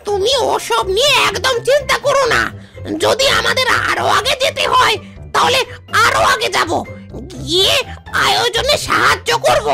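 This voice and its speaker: A woman voicing an eager, fawning young fox sidekick in a cartoon who stammers and shouts when excited. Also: very high voice, moderate pace. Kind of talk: cartoon voice acting. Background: background music.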